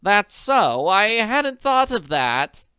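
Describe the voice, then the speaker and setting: A woman voicing an agitated, elegant middle-aged woman in a storybook, pleading in a breathless, drawn-out way. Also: mid-range voice, big pitch swings, bright, forceful tone, smooth, dry recording, voice pushed up, full character voice. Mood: distressed. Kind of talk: audiobook character voice.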